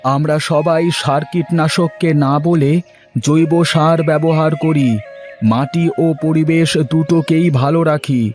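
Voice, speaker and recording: Cartoon farmer, played by a man: fairly high male voice, earnest and dramatic, light music behind. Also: steady tone, slow pace, a little mellow. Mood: earnest appeal. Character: poor, earnest village farmer, principled and emotional. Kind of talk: Bengali moral-story cartoon dubbing.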